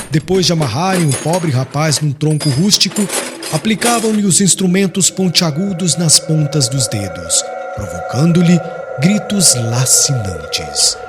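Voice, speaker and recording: Male audiobook narrator, fairly high voice, expressive swings, formal and solemn storytelling with music underneath. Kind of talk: dramatic scripted narration of religious historical novel. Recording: music clearly behind the voice.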